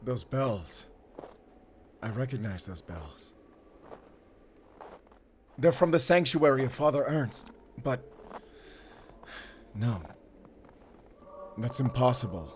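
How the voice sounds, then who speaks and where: A man's voice: mid-range voice, lively intonation, background noise. A man playing a wounded, stoic werewolf hunter who speaks in terse, strained phrases in a cinematic audio drama. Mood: wary, puzzled. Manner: terse, halting, strained delivery. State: hurt, weakened. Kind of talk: cinematic audio roleplay acting.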